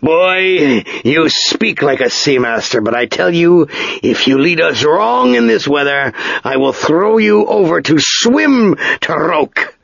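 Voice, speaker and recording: Brief: male reader playing a stout, gruff sea captain, bellowing orders, higher and slower than his own voice, gravelly, slightly dull lo-fi sound. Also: lively intonation, fairly bright tone, no room sound, exaggerated voice. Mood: threatening. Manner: bellowing, roaring over a storm.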